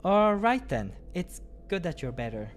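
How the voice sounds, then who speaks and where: A man's voice: high-pitched, big pitch swings, a little slow, slight background noise, voice pushed up. A man voicing an earnest young male adventurer who is polite, curious and emphatic. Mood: eager.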